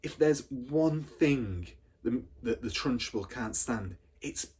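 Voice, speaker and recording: A man's voice: medium pitch, expressive, slow. A man voicing a knowing, scornful schoolgirl who comments to her friends in a storybook. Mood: hushed scorn. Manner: hushed, murmuring.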